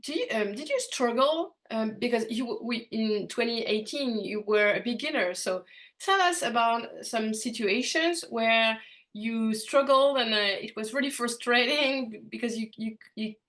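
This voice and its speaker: A woman hosting a podcast interview in English, which is not her first language, pausing often with fillers and restarts. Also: a little high, expressive, fairly bright tone. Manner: hesitant, many fillers and restarts. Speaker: podcast host.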